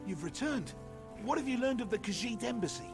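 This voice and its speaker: Elf king, fantasy game, high voice, very animated, arch, smug, theatrical, music behind. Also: a little fast. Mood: smug amusement. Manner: arch, droll, regal. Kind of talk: fantasy video game dialogue.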